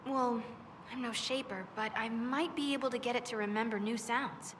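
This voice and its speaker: A woman playing an eager, scatterbrained young dwarf arcanist in a fantasy game, chatty and excitable with halting asides. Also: on the high side, close to an everyday voice. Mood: tentative, eager. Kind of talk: fantasy video game acting. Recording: distant hall with clear background noise.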